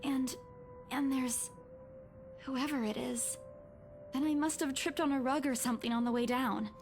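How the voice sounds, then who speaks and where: High-voiced flustered young woman. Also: fairly even intonation, normal speed, faint music behind. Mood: confused. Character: earnest, flustered young woman, trainee-turned-realtor. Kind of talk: visual novel voice acting.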